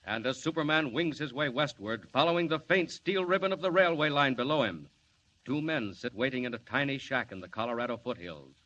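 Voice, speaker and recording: Male announcer, dramatic and sweeping, bright lively voice, dull hissy old radio recording. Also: medium pitch, fairly quick. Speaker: narrator and announcer. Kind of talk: dramatic 1940s radio narration.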